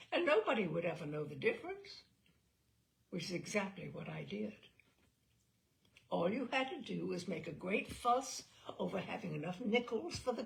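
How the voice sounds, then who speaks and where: Expressive woman reader with creaky edge. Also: medium pitch. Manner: theatrical, expressive, emphatic phrasing. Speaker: reader of literary selections. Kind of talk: dramatic reading of a comic personal essay.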